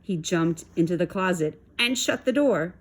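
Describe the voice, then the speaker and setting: A woman reading a storybook aloud to children in an expressive style. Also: fairly low pitch, moderate pace.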